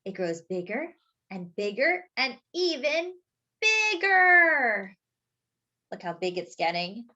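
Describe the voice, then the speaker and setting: A woman's voice: fairly high pitch, very animated, slow, sharp tone, dull audio. A woman hosting story time, reading a picture book expressively for young children, stretching key words and adding simple explanatory asides.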